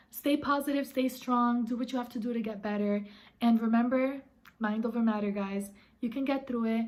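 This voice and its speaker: Slightly raspy woman vlogger, encouraging. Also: fairly high pitch. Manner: earnest, encouraging, addresses viewers as guys. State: hoarse, recovering from illness. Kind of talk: casual advice vlog.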